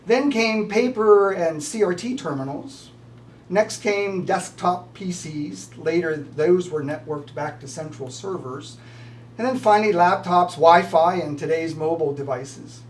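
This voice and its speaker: A man's voice: high voice, unhurried. An older man, a retiring college professor, giving prepared farewell remarks. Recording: distant mic with slight background noise.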